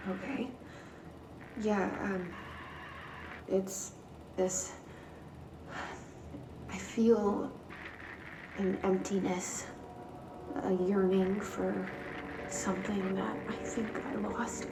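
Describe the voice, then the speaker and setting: An actress playing a troubled, earnest young female patient in a game, halting yet intense and determined. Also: mid-range voice, little pitch movement. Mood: haunted, halting. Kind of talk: video game cutscene acting. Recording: roomy, distant, noisy.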